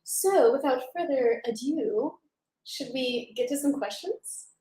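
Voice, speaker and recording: A young woman from Seattle talks upbeat and eager on a home live stream, quick to chime in. Her voice sits a little higher than most women's. The room gives her voice a clear echo. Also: dark tone. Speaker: co-host of a couple's channel. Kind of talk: casual live-stream chat.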